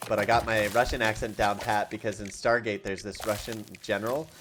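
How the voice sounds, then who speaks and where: A man's voice: fairly low pitch, level tone, a little fast, background noise. A man streaming a game in casual chat, rambling and self-deprecating and often laughing, talking from a room at home. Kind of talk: casual livestream chat while playing a game. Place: home room with a collection of objects.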